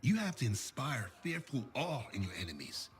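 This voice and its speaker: An actor playing a passionate armour outfitter in a video game, speaking with grandiose, playful, emphatic showmanship. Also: male voice, mid-range voice, very animated, normal speed, faint music behind, natural voice. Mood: proud, theatrical.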